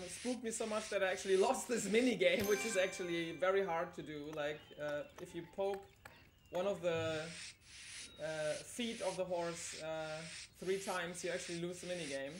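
A woman's voice: on the low side, noisy background. A young woman whose first language is German, chatting through a live speedrun with many fillers. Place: indoor room at a live event. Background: game music.

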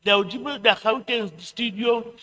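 A woman's voice: a little high, a little fast, sharp tone. A middle-aged woman on the committee addressing a public hearing into a handheld mic, switching between Sesotho and English and repeating herself. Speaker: committee member. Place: community hall. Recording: long hall reverb.

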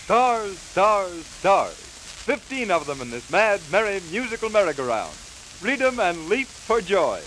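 A man delivering scripted 1930s promotional announcements with brisk, theatrical showman's hype. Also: high voice, big pitch swings. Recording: hissy, crackly 1930s 78 rpm record. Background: record hiss and crackle.

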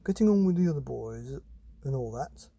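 A man's voice: mid-range voice, expressive, moderate pace, gravelly, mellow, breathy edge, dry recording, dull audio. A man voicing a well-meaning, inquisitive father who chats and probes in a children's storybook. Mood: probing. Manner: probing, chatty questions.

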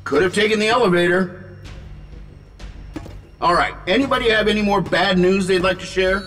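An actor playing a wry, confident superhero leader in a video game, with sardonic, clipped delivery. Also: male voice, sits high, normal speed, a bit bright. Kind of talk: video game cutscene acting. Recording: long reverb, music behind.

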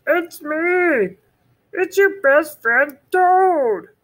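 A man voicing an anxious, eager male toad, high and pleading, in a storybook read-aloud. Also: slow pace, sharp tone, full character voice. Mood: shouting.

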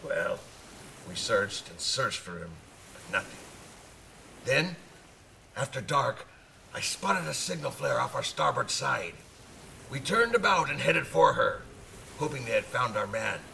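A man's voice: medium pitch, big pitch swings, measured pace, vocal fry, pauses often, bright, forceful tone, a little hiss or hum, exaggerated voice, low-quality recording. An actor in a radio drama playing a hearty old one-eyed sailor who spins boastful yarns in folksy nautical talk, repeating his phrases. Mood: grim, storytelling. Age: older.

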